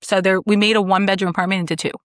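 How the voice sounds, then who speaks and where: A confident woman in her thirties, a podcast guest from Maryland. She tells her life story in a chatty, upbeat flow at a middle pitch. The sound is dry and close. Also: fairly even intonation, rapid-fire, dry recording. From Maryland, USA. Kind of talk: casual conversation.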